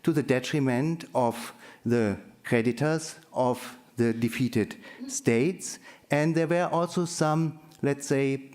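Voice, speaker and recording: A man presenting at an academic conference in a measured, halting way, often correcting himself. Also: medium pitch, darkish tone.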